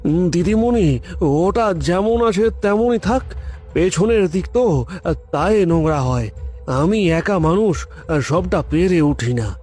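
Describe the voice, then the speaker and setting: A man voicing a nervous, servile caretaker and cook in a cartoon story, halting and pleading as he speaks. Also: high voice, lively intonation, darkish tone, soft background music, exaggerated voice. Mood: fearful pleading.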